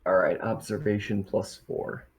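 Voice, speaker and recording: Guy player in a tabletop rpg, lowish voice, just chatting casually. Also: flat delivery, a little slow, slight background noise. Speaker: roleplaying game player. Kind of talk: casual game chat.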